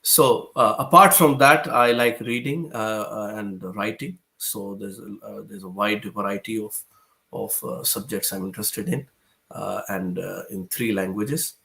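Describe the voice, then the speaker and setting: A man from Pakistan, a guest author answering interview questions hesitantly, often pausing and restarting. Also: lowish voice, fairly even intonation, vocal fry, pushed and bright. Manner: hesitant, with frequent "uh" fillers and restarts.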